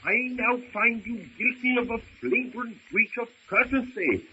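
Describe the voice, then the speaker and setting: An actor playing a pompous, pedantic, easily affronted older professor in an old-time radio drama, speaking in formal, long-winded phrases. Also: male voice, extremely high pitch, lively intonation, measured pace, pushed and bright, heavily acted. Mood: pompous indignation. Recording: muffled, noisy old radio recording.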